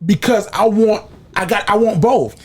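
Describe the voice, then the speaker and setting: High-voiced young man chatting loosely. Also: moderate pace, darkish tone, husky. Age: 20s. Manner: rambling, restarts often, laughs easily. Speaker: podcast host. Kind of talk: casual podcast chat.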